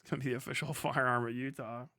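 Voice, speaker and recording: A man's voice: medium pitch, steady tone, very fast, bright, forceful tone, no echo, studio quality. A man hosting a livestream with casual, profane, blunt and sarcastic commentary. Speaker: livestream host.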